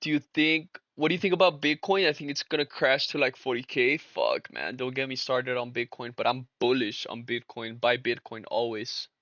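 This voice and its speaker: A young man chats loosely with his live-stream audience as he trades, calling them boys and brother in easygoing slang. His voice is bright and forward. The mic sounds close and dry, a little dull. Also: medium pitch, normal speed, hardly any pauses, no room sound. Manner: slangy, laid-back, talks to chat as boys and brother. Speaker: livestream trader and host. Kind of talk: casual live-stream chat while trading.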